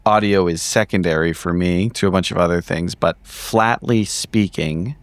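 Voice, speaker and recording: Low-voiced man, dry close sound, roleplaying. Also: normal speed, no echo. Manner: sweary, analytical. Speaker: player in tabletop game. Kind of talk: in-character improvised roleplay and casual game talk.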